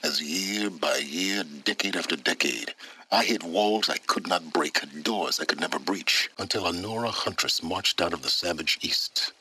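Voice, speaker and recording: A man's voice: low-pitched, moderate pace, pushed and bright, slightly rough, no echo, full character voice, poor audio. An actor playing a secretive, learned middle-aged man in a video game, imperious and condescending, with formal, precise diction. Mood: grave, reflective. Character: secretive, learned older male wanderer, imperious and impatient. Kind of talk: video game character dialogue.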